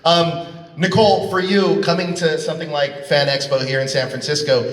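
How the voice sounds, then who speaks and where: A man's voice: a little high, flat delivery, normal speed. A man casually interviewing guests as moderator of a convention panel. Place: large convention hall panel. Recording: echoey hall PA, distant from mic.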